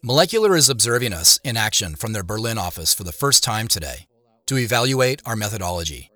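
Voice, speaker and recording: A man's voice: on the low side, big pitch swings, fast, pushed and bright, no room sound. A man playing an obsessive professional puzzling over a mystery, narrating in a confiding, conversational way as if reasoning aloud. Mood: focused. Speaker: narrator and lead character. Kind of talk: first-person audio drama narration, conversational.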